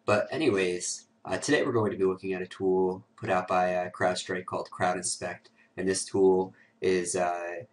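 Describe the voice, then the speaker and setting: A man with a cold talks through a software demo in a low, flat, raspy voice, hoarse and sniffly. His delivery is casual and loose, padded with "uh" and "um". He sits a short way from the mic. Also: moderate pace, few pauses, distant mic. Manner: casual, filler-heavy walkthrough with many "uh" and "um". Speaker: host of a security tutorial show. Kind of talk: screen-recorded software tutorial.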